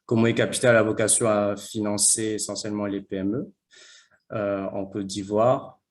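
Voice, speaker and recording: A man's voice: lowish voice, level tone, normal speed. A man who works for an impact investment fund, speaking on a webinar panel in a hesitant way, with many fillers and restarts.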